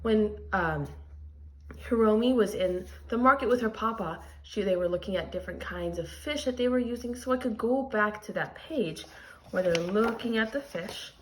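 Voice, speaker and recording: A woman's voice: medium pitch, normal speed, a little hiss or hum, poor audio. A woman teacher reading a picture book aloud to young students and explaining it as she goes. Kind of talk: expressive read-aloud with lesson commentary.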